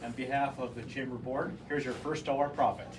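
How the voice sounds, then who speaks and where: A man's voice: mid-range voice, steady tone, fairly quick. A man with a Midwestern accent, the chamber board chair, offering plain formal thanks. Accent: Midwestern American. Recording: distant mic, loud noisy room.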